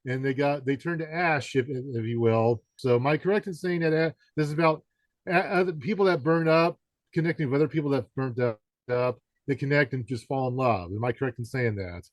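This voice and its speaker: A man speaks as a podcast interviewer with an average-pitched voice in a very dry recording. He talks conversationally and wanders through his thoughts, often feeling his way toward a question. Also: a little fast, no room sound.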